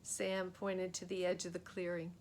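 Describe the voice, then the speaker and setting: A woman reading a children's book aloud as a storyteller, in an expressive, dramatic way. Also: on the low side, normal speed. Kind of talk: animated children's book narration.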